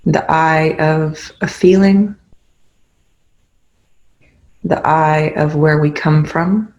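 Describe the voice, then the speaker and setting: A meditation guide leading a guided meditation in gentle, instructional phrases with pauses between them. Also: medium pitch, steady tone, muffled recording.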